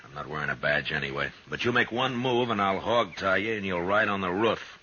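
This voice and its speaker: An actor playing a stern, laconic Old West marshal in a terse, deadpan 1950s radio western style. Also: male voice, deep voice, a little fast, a little hiss or hum. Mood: gruff, matter-of-fact. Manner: terse, deadpan, hard-bitten. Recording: dull old radio recording, music behind.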